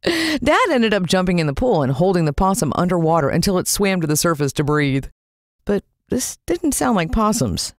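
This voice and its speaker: An American woman narrating a children's story in the first person, expressive and suspenseful. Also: fairly low pitch, normal speed, no room sound, studio quality. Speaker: audiobook narrator.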